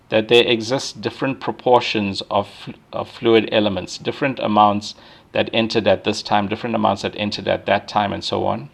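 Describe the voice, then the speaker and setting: A male lecturer talks through his material step by step, often pausing on fillers and restarting his sentences. His voice sits low with a gravelly, creaky edge. He keeps checking in with his students by asking "right?". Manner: frequent fillers and restarts while thinking aloud. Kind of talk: university lecture.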